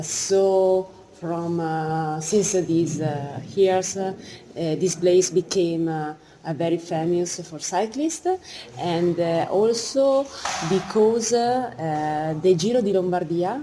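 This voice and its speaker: A woman with an Italian accent answering interview questions as a museum director, speaking halting English and searching for words. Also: fairly low pitch, dark tone, low-quality recording. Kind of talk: informal interview. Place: museum cafe. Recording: faint background noise, museum cafe.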